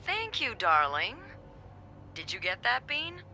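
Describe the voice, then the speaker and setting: A playful, sardonic young receptionist in a 1950s mystery game. Her voice is strikingly bright and forward, and her pitch moves a lot as she teases and quips. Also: takes it slowly, soft background music, exaggerated voice. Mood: teasing, lively. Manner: wry, teasing, expressive. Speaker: hotel receptionist. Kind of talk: conversational video game acting.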